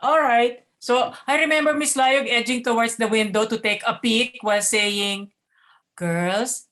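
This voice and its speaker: A woman's voice: fairly high pitch, fairly even intonation, a little slow, fairly bright tone. A woman with a Filipino accent reading a script informally, with asides, on a video call. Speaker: reader at a table read.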